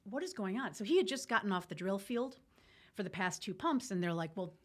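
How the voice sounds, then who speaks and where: A woman's voice: mid-range voice, sing-song, brisk. A woman telling a story informally as a podcast guest, candid and colloquial, with military jargon.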